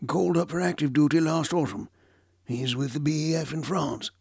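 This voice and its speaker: This is a wise, careworn elderly doctor in a storybook reading, voiced by a man. His voice is husky and gravelly, pitched a little high. He delivers facts plainly. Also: normal speed, darkish tone, no room sound, studio quality. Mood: explaining.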